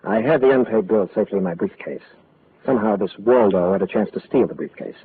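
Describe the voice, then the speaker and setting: An actor playing a guarded, wealthy married businessman, terse and gruff, in an old radio drama. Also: fairly low pitch, fast, narrow, tinny audio, lightly acted. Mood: confessional. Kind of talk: 1940s radio acting. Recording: thin, noisy old radio recording.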